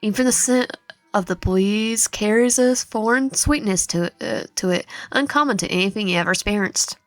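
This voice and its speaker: A woman's voice: mid-range voice, normal speed, dry recording. A woman reading a visual novel aloud with casual asides, stumbling over words now and then. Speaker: video game streamer. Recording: music behind the voice. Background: music.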